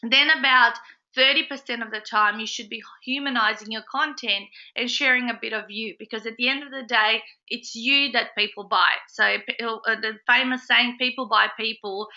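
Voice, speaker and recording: A woman's voice: on the high side, fairly even intonation, moderate pace, bright, forceful tone, lo-fi sound. A woman hosting a live group call in a casual, chatty way, full of ums and uhs, sounding a little nasal from a cold. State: has a slight cold, a bit nasal. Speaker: host of a business support group call. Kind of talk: casual webinar talk to members.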